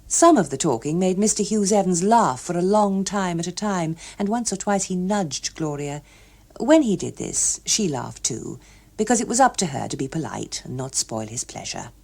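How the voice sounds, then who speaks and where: A woman's voice: on the low side, vocal fry, mellow. A woman with an English accent reading a story expressively as an audiobook narrator.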